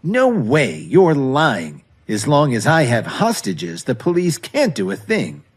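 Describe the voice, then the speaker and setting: Man acting an agitated villain, raised animated voice. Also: on the high side, takes it slowly, vocal fry, husky, pitched above the performer's own voice, heavily acted. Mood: agitated, defiant. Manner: taunting, agitated. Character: desperate, sneering male kidnapper with a knife. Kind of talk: audio drama acting.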